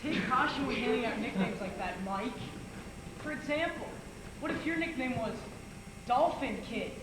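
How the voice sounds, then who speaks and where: A man's voice: extremely high pitch, moderate pace. A young man playing a gloomy, sardonic oddball in a stage comedy, with a theatrical delivery. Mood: odd, theatrical. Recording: echoey noisy hall from far off, loud audience.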